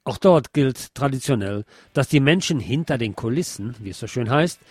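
A man's voice: medium pitch, dry recording. A man hosting a radio show, reading a news commentary aloud. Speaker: radio host.

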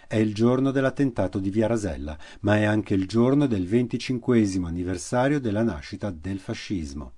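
A man with an Italian accent giving a measured, dramatic historical narration for radio theatre, slowing and dropping his voice for emphasis. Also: lowish voice, animated, warm tone. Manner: measured, slows and drops for emphasis. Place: radio studio.

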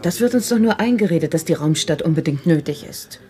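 A low-pitched, determined daughter. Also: female voice, animated, a little fast, darkish tone. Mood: earnest, urgent. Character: determined adult daughter, idealistic, quietly rebellious. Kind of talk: 1970s German radio play acting.

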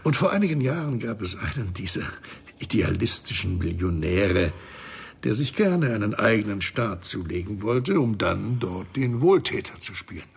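An actor playing a shady, condescending crime boss in a radio thriller, with a husky, gravelly voice and a smug, wordy manner. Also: male voice, fairly low pitch, heavily acted. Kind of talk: radio crime drama acting.

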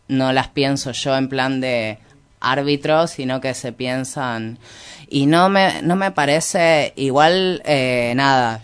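Clear-voiced, reflective Argentine man. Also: mid-range voice. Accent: Argentine Spanish. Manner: hesitant, reflective, self-correcting. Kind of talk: informal intellectual discussion.

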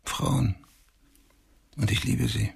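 An actor playing a cynical, self-assured police detective in a radio crime drama, with a dry, sardonic manner. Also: male voice, medium pitch, fairly even intonation, slow, vocal fry, breathy edge, exaggerated voice. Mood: defensive, calm.

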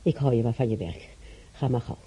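An elderly woman lying in a hospital bed, her voice very mellow and soft-edged over faint noise. She chats wistfully and kindly, musing and correcting herself, in the style of a Dutch radio drama. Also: extremely low pitch, fairly quick, poor audio. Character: wistful, chatty elderly bedridden female patient. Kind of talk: Dutch radio play acting.

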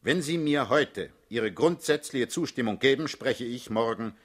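Commanding party boss, bright hard voice. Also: male voice, mid-range voice, lively intonation, measured pace. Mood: cool, controlled. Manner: authoritative, curt, clipped orders. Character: commanding male party boss, cool, hard-headed, unsentimental. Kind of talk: German radio drama acting.

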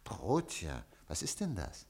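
An actor playing an excitable, evasive newspaper editor in a radio play, very expressive in delivery. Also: male voice, sits low, slow, vocal fry, exaggerated voice. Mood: baffled. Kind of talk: German radio play acting.

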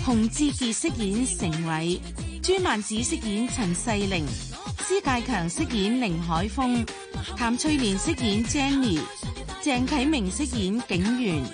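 Higher-voiced woman announcing over music. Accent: Hong Kong Cantonese. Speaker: announcer reading drama credits. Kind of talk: scripted radio announcement. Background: clear music.

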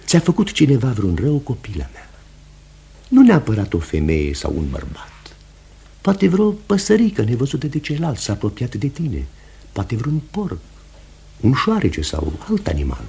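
An actor playing a commanding pastor who hunts the devil, speaking in an emphatic, oratorical radio theatre manner. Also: male voice, medium pitch, huge pitch swings, gravelly, dark tone. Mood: probing, intense. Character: commanding, certain male pastor hunting witchcraft and the devil.

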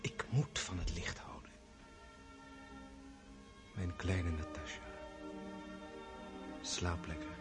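A man's voice: deep voice, slow, pauses often, over loud music. An actor playing an earnest, lovestruck prince in his thirties in a radio play, fervent and sometimes hesitant. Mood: tender, fervent.

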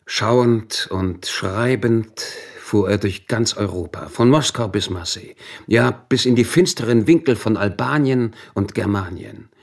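An actor playing an admiring eulogist in a radio play, with rhetorical, literary phrasing. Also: male voice, fairly low pitch, creaky, full character voice. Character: admiring male eulogist of a famous writer.

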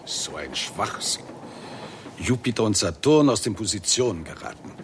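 A man's voice: on the low side, expressive, normal speed, lightly acted. Man playing scornful professor over vehicle noise. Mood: indignant, scornful. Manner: sarcastic, indignant, derisive. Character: scornful, irascible eminent British astronomy professor. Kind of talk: 1960s German radio play acting. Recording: clear background vehicle noise. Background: vehicle interior noise on a drive.

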